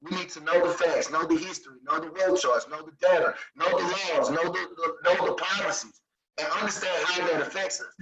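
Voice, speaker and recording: A man's voice: a little high, fairly even intonation, brisk, roomy sound, recorded from a distance, lo-fi sound, crowd noise. A man, speaking in an emphatic, assertive way in a group discussion and repeating points for stress. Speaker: discussion participant. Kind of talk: passionate opinion in group discussion.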